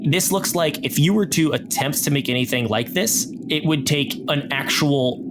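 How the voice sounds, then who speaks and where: A man acting as game master, narrating an improvised story with deliberate pauses as he sets the scene. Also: fairly high pitch, noisy background.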